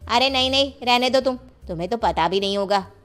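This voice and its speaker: A woman's voice: on the high side, fairly quick, fairly bright tone, close to an everyday voice. A woman playing a bossy, sarcastic middle-aged city housewife in a cartoon family story, with a nagging, dismissive way of talking. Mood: taunting, dismissive. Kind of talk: animated moral-story dialogue.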